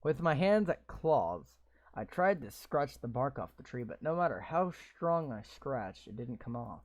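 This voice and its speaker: Slow, lively-voiced man reading a game. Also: medium pitch. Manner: slow deliberate reading broken by blunt, sweary sarcastic asides. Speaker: gamer reading a visual novel aloud. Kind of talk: reading game text aloud with commentary. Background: game music.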